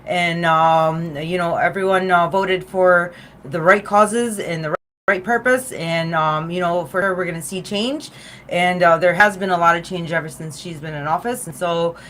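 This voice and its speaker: Woman host, casual chat, lowish voice, light background noise. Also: steady tone, moderate pace, a bit bright. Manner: chatty, lots of fillers and laughs. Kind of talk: casual interview.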